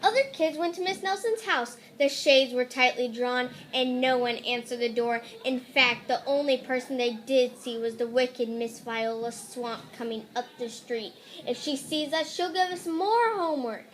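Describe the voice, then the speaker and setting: High-voiced woman reading a storybook, echoey. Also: fairly even intonation, normal speed, hardly any pauses, fairly bright tone, a little hiss or hum. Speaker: storybook reader. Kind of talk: children's story read aloud. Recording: echoey room.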